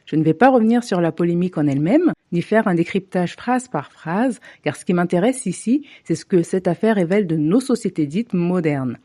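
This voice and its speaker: A woman from Africa delivering a scripted opinion monologue to camera, measured and deliberate with pointed asides. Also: on the low side, animated, dark tone. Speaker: commentator.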